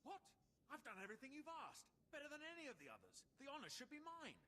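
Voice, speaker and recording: A jealous young Sith rival in a sci-fi game. The indignant voice is high, fast and wildly swinging. A faint rumble sits behind. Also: male voice, wildly swooping pitch, pushed and bright, exaggerated voice. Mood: outraged. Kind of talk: sci-fi video game acting.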